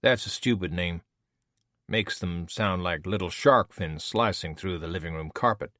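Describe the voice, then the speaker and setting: A man voicing a blunt, weary male killer with dry, deadpan humor. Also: low voice, normal speed, no room sound.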